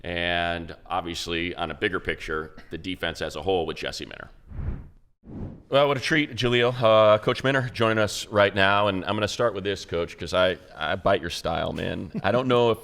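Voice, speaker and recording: A man's voice: low voice, moderate pace, creaky, fairly bright tone, clear, resonant tone. A man hosting a sports podcast, talking and interviewing in a casual, conversational way. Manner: informal, conversational.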